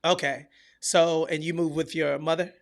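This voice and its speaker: A man with a fairly high voice hosts a casual podcast interview. He talks informally and likes to banter, keeping things jovial as he puts his questions. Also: level tone, moderate pace, creaky. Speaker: podcast host.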